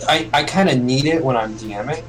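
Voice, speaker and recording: A man's voice: medium pitch, a bit bright. A man with a slightly nasal, congested voice, game master of the group, chatting casually and stumbling over repeated words. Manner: halting, repeats words as he talks. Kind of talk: casual pre-game chat.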